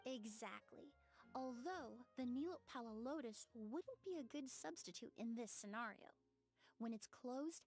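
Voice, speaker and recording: A woman playing a composed, analytical young investigator in a game, explaining things in a precise, measured way. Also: a little high.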